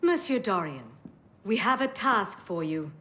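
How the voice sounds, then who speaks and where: An actress playing a measured, authoritative assassin leader in a video game, speaking formally and deliberately. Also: female voice, mid-range voice, lively intonation, a bit bright, a little hiss or hum. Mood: measured, grave. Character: measured, authoritative female assassin council elder. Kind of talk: dramatic video game voice acting.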